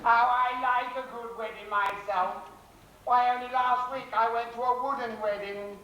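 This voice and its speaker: A man's voice: extremely high pitch, fairly even intonation, moderate pace, sharp tone, highs cut off. A man playing a jolly, gossipy pantomime dame who addresses people with exaggerated familiarity on stage. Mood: gossipy, fussing. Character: chatty, jolly pantomime dame minding two children. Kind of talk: broad pantomime comedy acting. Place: live theatre stage with audience. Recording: echoey theatre, distant camcorder, noisy audience.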